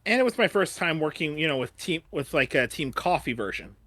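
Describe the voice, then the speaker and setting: A man chatting casually about past work, halting and circling back over his phrases. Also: a little high, sing-song, fairly bright tone, dry recording. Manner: halting, repeats phrases as he goes. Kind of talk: casual retrospective discussion.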